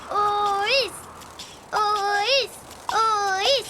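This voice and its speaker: A woman voicing a small, cheerful girl bird in a children's cartoon, excitable and eager. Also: very high voice, drawn-out words, heavy background noise, exaggerated voice. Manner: excitable, squealing with joy. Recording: loud music behind.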